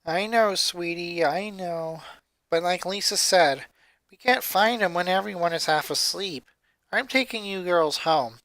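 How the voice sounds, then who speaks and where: A woman voicing a worried, tired and gentle mother in a story reading. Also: on the low side, unhurried, no echo. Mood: weary, soothing.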